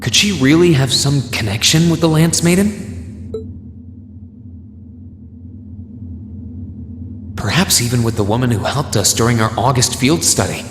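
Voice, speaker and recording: A man playing an earnest, kind young hero in a video game, speaking in a gentle, thoughtful and sincere way. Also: mid-range voice, lightly acted. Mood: pondering. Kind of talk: dramatic video game voice acting.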